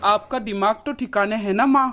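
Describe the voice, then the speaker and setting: A woman's voice: medium pitch, moderate pace, a bit bright, soft background music, natural voice. A woman voicing a selfish adult son in a cartoon story, self-justifying and doting on his wife, on band-limited audio. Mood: irritated.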